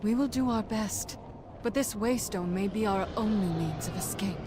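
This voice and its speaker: An actress playing a resolute, caring mage leader in a video game, earnest and composed under danger. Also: female voice, medium pitch, normal speed, noisy background, close to an everyday voice. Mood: concerned, resolute. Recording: loud game music behind voice.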